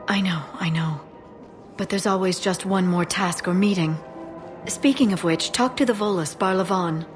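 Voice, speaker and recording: Casting: woman playing composed young alien intel broker, sci-fi game, earnest, slightly low voice, music loud behind. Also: moderate pace, close to an everyday voice. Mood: businesslike. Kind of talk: scripted game dialogue.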